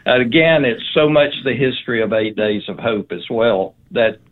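A man speaking in an earnest, deliberate, conversational way over a phone-like line. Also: medium pitch. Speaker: interview guest. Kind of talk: measured conversational talk. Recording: thin phone-line sound.

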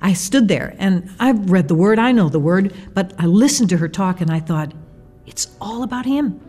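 A woman's voice: mid-range voice, expressive, normal speed, warm tone, husky. A woman giving an emphatic testimonial with dramatic repetition and phrasing.

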